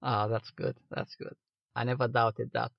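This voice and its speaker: A man's voice: medium pitch, level tone, moderate pace, creaky, no echo, muffled recording. A man hosting a podcast interview who asks short, friendly questions. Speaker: podcast host.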